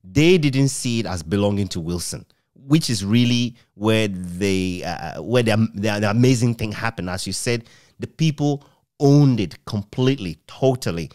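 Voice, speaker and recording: A middle-aged Ghanaian man interviewing conversationally, asking long, measured, reflective questions. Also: on the low side, expressive, darkish tone, no room sound, studio quality. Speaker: interviewer, author. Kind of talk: conversational radio history interview.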